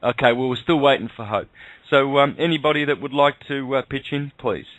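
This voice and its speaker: A man's voice: medium pitch, brisk, a bit bright, no room sound. A man hosting a radio talk show in a casual, rambling, hesitant way, recorded in lo-fi audio. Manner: hesitant, chuckles between phrases. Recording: dull lo-fi audio.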